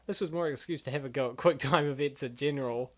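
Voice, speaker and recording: A man's voice: medium pitch, fast. A man giving casual, off-the-cuff commentary in a rambling, jokey way. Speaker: commentator on webcomics.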